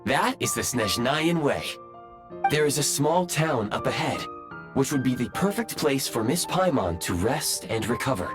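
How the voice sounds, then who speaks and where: Formal, logical robotic guide, lilting delivery. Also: male voice, medium pitch, sing-song, a little slow. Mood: explanatory, confident. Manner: formal, precise, courteous. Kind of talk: video game cutscene acting. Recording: prominent music behind.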